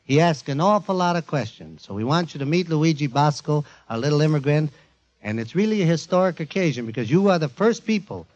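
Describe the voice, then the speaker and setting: A man's voice: on the high side, expressive, a little fast, darkish tone. Informal emphatic man on a dull old recording. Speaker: radio show presenter warming up audience. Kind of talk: audience warm-up introduction. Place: before a live studio audience. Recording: dull 1940s radio archive recording.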